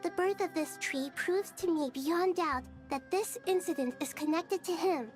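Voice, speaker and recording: Very high-voiced, earnest little girl leader. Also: normal speed, soft background music. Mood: earnest, grave. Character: small young girl deity, earnest composed leader. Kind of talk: video game voice acting.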